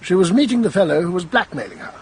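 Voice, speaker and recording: A businesslike colonel investigating in a radio mystery. His gravelly voice moves briskly through a lively range, over faint background noise. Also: high-pitched, full character voice. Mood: brisk, inquiring. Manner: brisk, questioning, official. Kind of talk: radio mystery drama acting.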